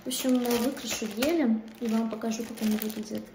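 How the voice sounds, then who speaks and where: Young female beauty vlogger, fairly high voice, informal and chatty, filmed on a phone indoors with some room noise. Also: steady tone, fairly quick. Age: 20s. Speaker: manicurist vlogger. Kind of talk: casual chatty vlog. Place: small indoor kitchen and studio rooms. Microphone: phone at arm's length. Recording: clear background room noise.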